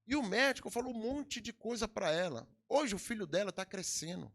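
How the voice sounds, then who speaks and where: Lively preaching Brazilian pastor, gravelly swells. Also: male voice, high-pitched, fairly quick. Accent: Brazilian Portuguese. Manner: preaching with emphatic swells and sudden drops. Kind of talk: sermon. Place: church hall.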